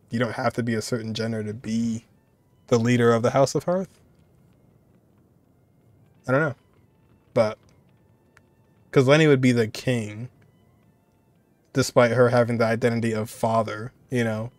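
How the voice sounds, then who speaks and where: A man's voice: medium pitch, fairly even intonation, moderate pace, long pauses, no room sound. A man in his twenties giving casual reaction commentary as the host of a video. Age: 20s.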